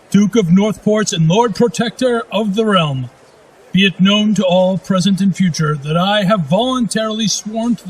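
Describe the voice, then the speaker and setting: An actor playing a magnanimous noble duke in a fantasy radio drama, measured and formal. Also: male voice, high voice, a little hiss or hum, lightly acted, poor audio. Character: magnanimous noble duke, dignified veteran lord.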